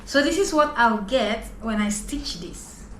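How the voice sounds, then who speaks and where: Woman vlogger from Nigeria, high voice, unhurried, gasps with delight, echoey room, background noise. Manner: excited, gasping, softly talking to herself. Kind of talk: casual unboxing vlog. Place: home in Dubai.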